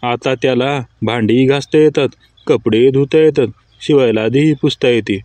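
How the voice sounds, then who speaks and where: A man's voice: mid-range voice, normal speed, a little mellow, no room sound, lightly acted. A man playing a sarcastic, teasing husband in scripted comedy cartoon skits. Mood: dry, teasing.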